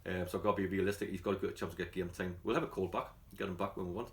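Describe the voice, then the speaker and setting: A man with a London accent talks at a brisk clip in a fairly low voice. He sounds like a football manager at a casual catch-up, chatty and colloquial, telling things the way he would to mates. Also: fairly even intonation. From London, England. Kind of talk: casual press catch-up interview.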